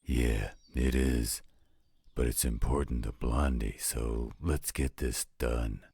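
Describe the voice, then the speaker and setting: A man plays a gruff, patient older werewolf stepfather in an audio drama. The voice is extremely low, raspy and full of gravelly fry, delivered slowly and dryly, close and without echo. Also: steady tone, warm tone, heavily acted. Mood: fatherly, approving. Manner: gruff, dry, unhurried. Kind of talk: scripted roleplay audio drama.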